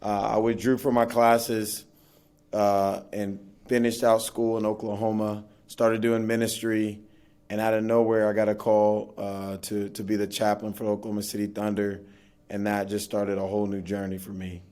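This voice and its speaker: A man's voice: on the low side, completely flat delivery, moderate pace, a little mellow. A man who is a pastor, talking about faith in a reflective, earnest way as a podcast guest. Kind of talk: casual conversational interview.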